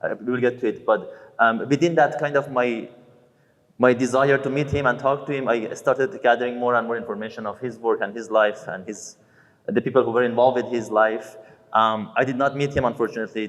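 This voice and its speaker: A man who grew up in Iran and speaks English as a second language, talking hesitantly with many pauses and restarts as an artist on a panel. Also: fairly low pitch. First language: Persian. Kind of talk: panel discussion. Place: gallery event space. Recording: long gallery reverb.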